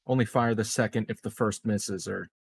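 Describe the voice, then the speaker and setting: A man's voice: lowish voice, fairly even intonation, moderate pace, creaky, slightly rough. A man playing a tabletop roleplaying game, talking casually through decisions in character.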